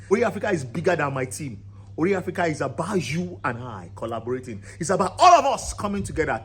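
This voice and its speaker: A man's voice: a little high, a bit bright, a little hiss or hum. A man, founder of a cultural channel, giving an impassioned monologue to camera in an emphatic, rousing way. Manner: emphatic and rousing, builds repetitive lists.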